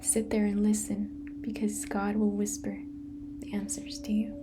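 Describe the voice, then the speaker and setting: A woman speaking in a soft unvoiced whisper, gently guiding a meditation and sharing a personal story. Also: mid-range voice, completely flat delivery, measured pace, background noise.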